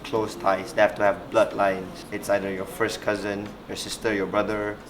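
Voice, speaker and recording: Low, flat-voiced young man. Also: normal speed, background noise. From Sarawak, Malaysia. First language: Iban. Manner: thoughtful, hesitant, with frequent restarts. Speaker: interviewee. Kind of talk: reflective personal interview. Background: background music.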